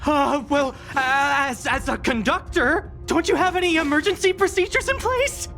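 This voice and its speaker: A woman playing an expressive, easily flustered young heroine in a video game. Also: high voice, moderate pace, faint music behind. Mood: hesitant.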